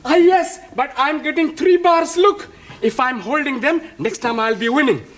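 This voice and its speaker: An actor playing an excitable man stuck on a fruit machine, speaking broken English full of -ing verb forms. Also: very high voice, moderate pace. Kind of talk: studio sitcom acting.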